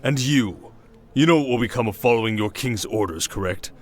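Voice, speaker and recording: A man's voice: mid-range voice, fairly quick, close to an everyday voice. A man playing a proud, protective warrior king in a fantasy roleplay, with a commanding, sardonic manner. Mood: scornful anger. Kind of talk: dramatic fantasy ASMR roleplay.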